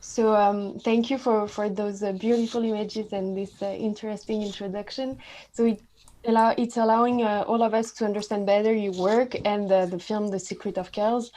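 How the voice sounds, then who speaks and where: A woman with a French accent moderating an online discussion in a polite, halting manner. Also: mid-range voice, fairly even intonation, dark tone.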